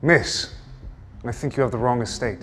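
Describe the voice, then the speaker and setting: An actor playing a proud, honourable duke in a period romance drama, speaking in a formal, commanding manner. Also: male voice, medium pitch, moderate pace, fairly bright tone, faint music behind, lightly acted. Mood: stern. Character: proud, honourable male duke, guarded but chivalrous.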